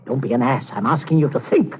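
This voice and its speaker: A man's voice: mid-range voice, fast talker, breathy edge. An actor playing a stern, pompous middle-aged father who snaps orders in a 1940s radio thriller. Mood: calculating, impatient. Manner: pompous, scolding, clipped orders. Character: stern middle-class father, pompous, snappish, obsessed with respectability. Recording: tinny old 1940s radio broadcast.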